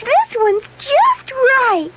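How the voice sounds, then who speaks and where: An actress playing a perky fairy-tale Goldilocks in a vintage radio commercial, with a bubbly, sing-song delivery. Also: female voice, extremely high pitch, huge pitch swings, drawn-out words, very noisy, full character voice. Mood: chirpy. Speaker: commercial character. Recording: dull vintage radio broadcast.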